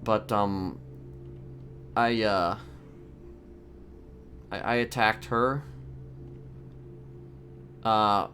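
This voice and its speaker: Man playing a slow, halting serial killer. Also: lowish voice, fairly even intonation, sharp tone, faint music behind, full character voice. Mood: halting confession. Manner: halting, stammering, evasive, politely matter-of-fact. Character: soft-spoken, hesitant male serial killer confessing his crimes. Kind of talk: improvised online game roleplay.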